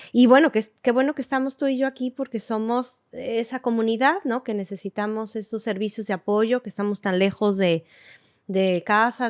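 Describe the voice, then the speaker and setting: A woman's voice: mid-range voice, no echo. Woman, interview host, affirming, filler-heavy, dry close sound. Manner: warm affirming, many fillers and back-channels. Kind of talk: conversational interview.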